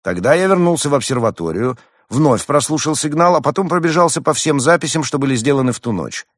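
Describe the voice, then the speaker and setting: A man's voice: a little high, animated, fast talker, dry recording, studio quality, pitched above the performer's own voice. A man voicing a cocky, eager young male hedgehog student in a storybook, self-assured and theatrical. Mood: excited. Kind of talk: acted storybook dialogue.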